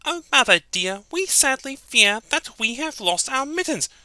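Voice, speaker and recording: A nursery-rhyme reader voicing three young kittens who whine and meow in high squeaky voices. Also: big pitch swings, measured pace, bright, forceful tone, no room sound, heavily acted. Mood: pleading. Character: three little kittens, young, whiny and meowing.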